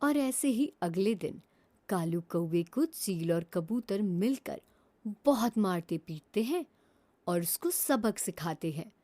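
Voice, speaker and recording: A woman narrating a children's cartoon story, her pitch rising and falling in a lively way. Her tone is mellow and warm, and she tells it in a plain storybook manner. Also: slow.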